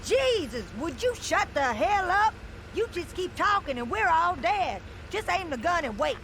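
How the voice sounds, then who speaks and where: A woman playing an irritable, bossy gunner in a video game, snapping at others to hush. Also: extremely high pitch, very animated, measured pace, slight background noise, full character voice. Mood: exasperated. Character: irritable, tense, bossy gunner lying in ambush. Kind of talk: scripted video game acting.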